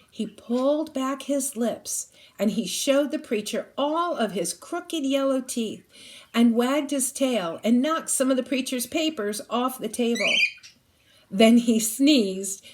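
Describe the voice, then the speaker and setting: A woman's voice: a little high, expressive, slow pace, darkish tone, a little hiss or hum. A woman reading a children's novel aloud expressively, like a teacher reading to a class.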